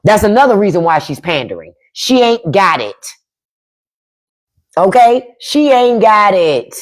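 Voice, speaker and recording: Blunt, profane woman with a lively voice. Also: medium pitch, measured pace. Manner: blunt, profane, emphatic, reads and answers chat. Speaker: podcast host on a live stream. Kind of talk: casual livestream commentary.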